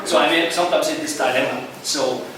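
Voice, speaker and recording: Swiss-accented male editor, halting non-native English, distant mic in a noisy echoing hall. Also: fairly high pitch, fairly even intonation. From Switzerland. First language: not English. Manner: halting, many "uh" fillers, warm and earnest. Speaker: journal editor chairing a session. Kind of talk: conference panel remarks. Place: conference room.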